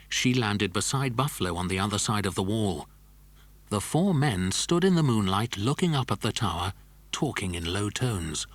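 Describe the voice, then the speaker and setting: Brief: male narrator for children's adventure audiobook, lowish pitch, close dry studio sound. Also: a bit bright, dry recording. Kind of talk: scripted children's story narration.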